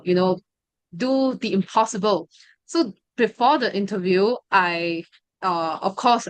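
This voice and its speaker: A young woman talks through a personal testimony. She hesitates often, filling gaps with "uh" and "you know" and restarting her sentences. Her voice sits at a middle pitch and sounds dry and close, with no room around it. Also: fairly bright tone, dry recording. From Malaysia. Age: 20s. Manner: hesitant, full of fillers and restarts. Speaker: study group member sharing her story.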